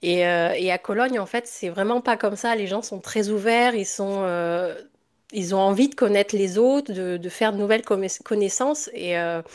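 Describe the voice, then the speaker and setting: A woman's voice: mid-range voice, normal speed. A woman from France with a French accent, speaking as a podcast guest in a casual, reflective and somewhat hesitant way. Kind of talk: casual interview conversation.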